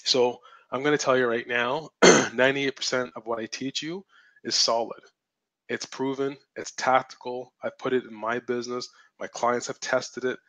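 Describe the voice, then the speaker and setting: A man's voice: medium pitch, fairly bright tone, no echo, rough recording. A man hosting a live webinar as a business mentor, talking bluntly and assertively, repeating points for emphasis, his voice strained and cracking. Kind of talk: live-streamed webinar teaching.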